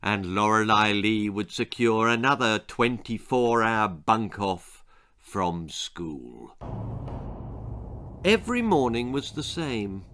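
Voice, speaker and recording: A man's voice: on the low side, very animated, slow pace, a bit bright. A man in his sixties with a British accent, an author reading his children's story theatrically, relishing each grotesque detail. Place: small book-lined room indoors.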